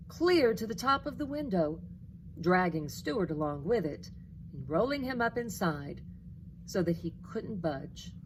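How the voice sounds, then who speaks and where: A woman teacher reading a children's storybook aloud in a deliberate, expressive storytelling way. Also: mid-range voice, background noise. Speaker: second grade teacher.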